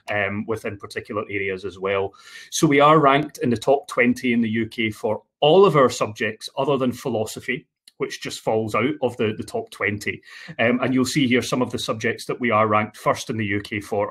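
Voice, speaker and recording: A man's voice: fairly low pitch, a bit bright, slightly rough. A man from Scotland with a Scottish accent, giving an online university presentation with frequent fillers and repeated words.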